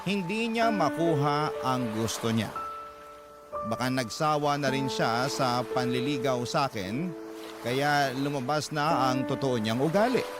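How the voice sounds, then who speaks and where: A man's voice: medium pitch, natural voice. A man with a Filipino accent playing a hurt, wistful letter writer narrating a love story in a radio drama. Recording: loud music under studio narration.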